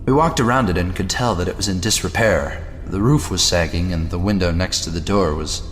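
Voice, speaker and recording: A man's voice: sits low, a little fast, a little hiss or hum, close to an everyday voice. A man playing a weary, cynical narrator who confides a boyhood horror story in a resigned, conversational audio drama read. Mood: weary confiding. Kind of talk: scripted first-person audio horror narration. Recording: long reverb, music behind.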